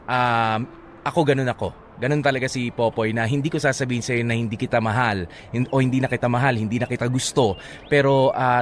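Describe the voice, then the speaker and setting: A Filipino man hosting a radio show, giving relationship advice in a casual, teasing, halting way and mixing Tagalog with English. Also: medium pitch. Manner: hesitant, teasing, switches between Tagalog and English. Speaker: radio host. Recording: clear background noise and ambience.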